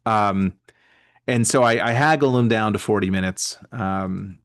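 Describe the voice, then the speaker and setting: Low-voiced man in rambling chat. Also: measured pace. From near Boston, USA. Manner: rambling, self-deprecating, many fillers. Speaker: stand-up comedian, guest. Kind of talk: casual podcast chat.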